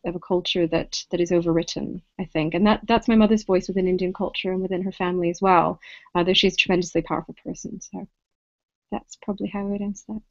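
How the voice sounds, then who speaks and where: A woman's voice: fairly low pitch, fairly even intonation, fast talker, a little mellow, dry recording. A woman in her thirties, a poet in her office, answering thoughtfully and a little hesitantly over a video call. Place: office with bookshelves indoors. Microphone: laptop webcam on video call. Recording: dry, dull video call audio.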